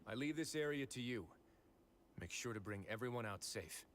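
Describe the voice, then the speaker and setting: In a studio-made game scene, a composed male hero gives steady, measured orders, voiced by a man. His voice sits in a middling range, and a faint hiss runs underneath. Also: medium pitch, close to an everyday voice. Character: calm male game hero leading a rescue. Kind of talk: game voice acting.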